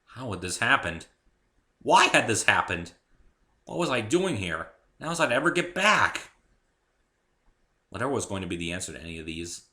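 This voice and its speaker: Man, low pitch, very animated, theatrical first-person storytelling, dramatic reading. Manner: expressive, theatrical. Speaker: amateur story narrator.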